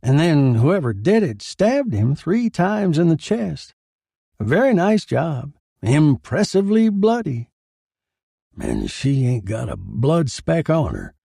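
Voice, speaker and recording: A man's voice: a little high, huge pitch swings, slow pace, creaky, mellow, husky, no room sound, studio quality, voice pushed up, heavily acted. A man voicing a morbid, flippant coroner who relishes his grisly work, in a noir crime drama.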